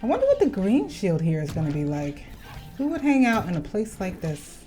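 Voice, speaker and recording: Woman, gameplay commentary, playful, animated pitch, faint background music. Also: brisk, poor audio. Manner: chatty, playful, reads game text aloud. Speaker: gaming video host. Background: faint game music.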